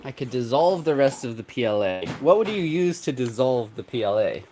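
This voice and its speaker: A congested man hosting a livestream, chatting in a relaxed, rambling way while he works, chuckling often. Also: mid-range voice, moderate pace, a little hiss or hum. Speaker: 3D printing livestream host. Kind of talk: casual livestream talk while working.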